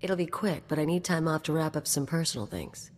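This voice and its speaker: Game companion: brash anarchist gun-for-hire, low female voice, swaggering and teasing, heard in a long reverb. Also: expressive, a little fast, a little mellow, a little hiss or hum. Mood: guarded. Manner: swaggering, teasing, casual and slangy. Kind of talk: video game voice acting.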